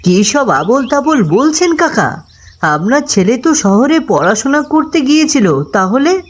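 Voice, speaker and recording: A woman playing a frightened, bewildered young villager in a cartoon story, speaking in a halting, stammering manner. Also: high-pitched, sing-song, breathy edge, exaggerated voice.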